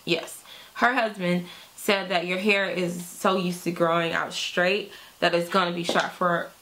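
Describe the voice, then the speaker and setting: A woman's voice: fairly low pitch, a bit bright. Chatty woman in her thirties vlogging. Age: 30s. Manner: chatty, upbeat, says "like" and "y'all" often. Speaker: beauty vlogger. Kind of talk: casual chat to camera.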